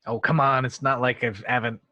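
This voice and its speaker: A man's voice: medium pitch, little pitch movement, brisk, bright, forceful tone, highs cut off, lightly acted. A man playing a cocky, casual starship captain in an amateur sci-fi comedy table read. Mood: cocky, insistent. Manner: casual, self-assured.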